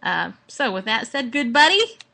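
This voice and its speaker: A woman hosting a casual solo podcast at home, chatting in a playful, rambling, animated way. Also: fairly high pitch, moderate pace, vocal fry, a little hiss or hum. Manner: playful, rambling, acts out mock exchanges. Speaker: podcast host. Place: at home, indoors.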